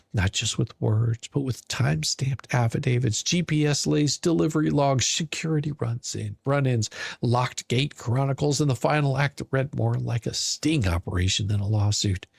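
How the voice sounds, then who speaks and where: A man narrating a scripted story in a dramatic, mocking manner, with deliberate theatrical pauses. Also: mid-range voice, vocal fry, husky, no room sound. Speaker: podcast narrator.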